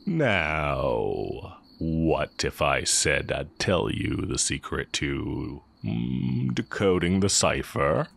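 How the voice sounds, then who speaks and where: A man acting as a sly, coolly teasing heretic magic teacher in an intimate audio roleplay, musing and taunting with drawn-out pauses. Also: a bass voice, big pitch swings, vocal fry, a bit bright, full character voice. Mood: sly, menacing.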